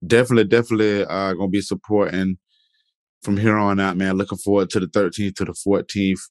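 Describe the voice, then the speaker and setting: A man's voice: sits low, steady tone, fast, darkish tone, no echo. A man hosting a podcast interview, talking loosely with lots of fillers and restarts. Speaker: podcast host. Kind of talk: casual interview chat.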